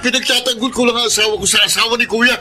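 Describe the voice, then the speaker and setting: An actor playing a hot-headed, defiant young husband and younger brother, brusque and combative, in a Filipino radio drama. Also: male voice, very high voice, fairly even intonation, very fast, over music. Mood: angry. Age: young adult.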